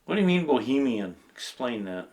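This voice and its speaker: A man's voice: mid-range voice, very animated, brisk, a little mellow. A man interviewing an older guest, chiming in with short, playful remarks. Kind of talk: casual interview.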